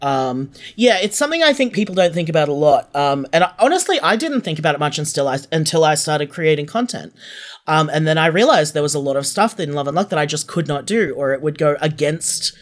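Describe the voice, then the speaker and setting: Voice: medium pitch, big pitch swings, fairly quick. A podcast creator being interviewed in a casual, chatty way, often self-correcting and thinking aloud.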